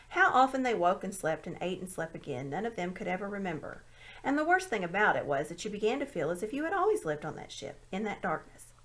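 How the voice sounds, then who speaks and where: A woman narrates a storybook with an animated delivery. Her mid-pitched voice moves freely up and down as she reads each scene. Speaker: amateur audiobook reader. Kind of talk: expressive storybook read-aloud narration.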